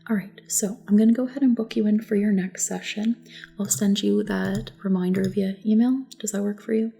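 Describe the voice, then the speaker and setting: A soft, breathy woman gently giving calm, reassuring instructions in an ASMR roleplay, often dropping to a whisper. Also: mid-range voice, fairly quick. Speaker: ASMR roleplayer as a test examiner. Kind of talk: soft-spoken ASMR personal attention roleplay.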